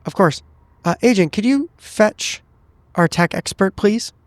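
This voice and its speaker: A man takes part in a tabletop roleplaying game with measured courtesy. His voice sits a little high and is recorded dry, each thought laid out deliberately. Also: animated, no echo.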